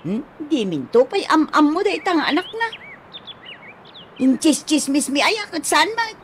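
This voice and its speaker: A woman's voice: sits high, very animated, a little slow, a little hiss or hum. A woman with an Ilocano accent acting a flustered, pleading village gossip who stammers in a comedy radio drama. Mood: evasive.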